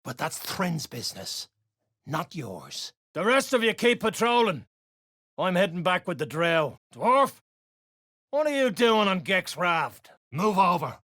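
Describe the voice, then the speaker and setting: A surly underground dwarf raider from a video game, coarse and slangy. The pitch leaps from low grumbles to sharp barks. The recording is dry and close, as a hostile underling snaps out orders and complaints. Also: big pitch swings, measured pace, no echo. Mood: barking, hostile. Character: gruff, surly duergar raider guard, rough-tongued underling. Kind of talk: video game character voice lines.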